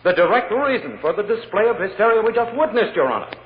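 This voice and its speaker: A man's voice: high-pitched, big pitch swings, quick pace. A man playing a hard, indignant prosecuting attorney in 1940s radio drama, declamatory and sarcastic. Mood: insistent. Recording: tinny echoey old radio recording, hiss.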